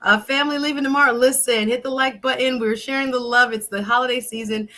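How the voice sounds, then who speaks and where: Upbeat lady host, bright sharp voice, chatty and talking right at the viewers. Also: fairly high pitch, fairly even intonation, fast talker. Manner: enthusiastic, chatty, addresses viewers directly. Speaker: show host. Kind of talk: upbeat interview hosting.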